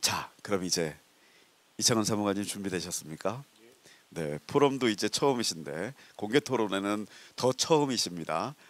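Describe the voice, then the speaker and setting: A man's voice: fairly low pitch, expressive, normal speed. A man moderating a public forum, hosting in a formal but conversational style.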